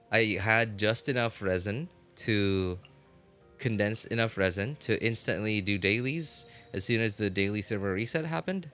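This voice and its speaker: A man streaming a game live, chatting casually and haltingly as he thinks aloud. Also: lowish voice, pushed and bright. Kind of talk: casual rambling livestream chat. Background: music.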